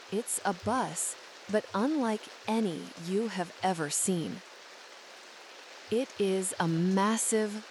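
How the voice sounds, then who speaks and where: A woman narrating a guided sleep meditation in a soothing, measured and deliberate way. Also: medium pitch, slight background noise, low-quality recording.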